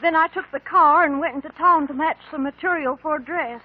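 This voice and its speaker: A woman's voice: high voice, fairly quick, lightly acted. An American woman acting an anxious, loyal young country wife in a 1950s radio drama, plain-spoken and emotional. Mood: upset, hesitant. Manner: plain rural speech, emotional. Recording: narrow-band old radio recording.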